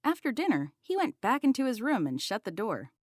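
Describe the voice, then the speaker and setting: A woman's voice: on the high side, huge pitch swings, fairly quick, no room sound, studio quality. A woman narrating a children's picture book as a read-aloud.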